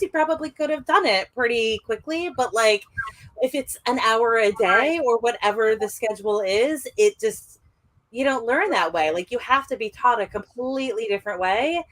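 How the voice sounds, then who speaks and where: A woman's voice: on the high side, moderate pace, a bit bright, rough recording. A woman chatting casually on a podcast, with lots of "like" fillers. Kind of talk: casual chat.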